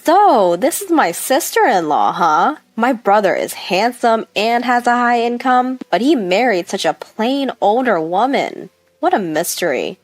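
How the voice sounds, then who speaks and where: A woman's voice: on the high side, big pitch swings, measured pace, few pauses, no echo, pitched above the performer's own voice. A woman voicing a haughty, mocking young female medical student in a story, rude and condescending.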